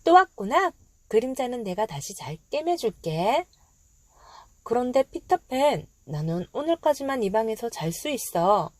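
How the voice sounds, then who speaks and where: A woman's voice: fairly high pitch, very animated, a little slow, dry recording, voice pushed up. A woman voicing an eager, kind, earnest young girl in a children's storybook reading. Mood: sad. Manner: bright-eyed, earnest, childlike. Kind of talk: children's storybook read-aloud.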